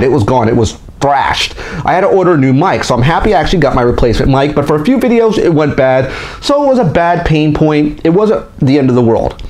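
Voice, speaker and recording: A man's voice: on the high side, a little mellow. A man hosting a toy collector vlog, talking casually and candidly into a desk microphone, often restarting and voicing his frustrations. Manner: chatty, candid, frequently restarts and vents frustration. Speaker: toy collector channel host. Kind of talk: casual monthly update vlog.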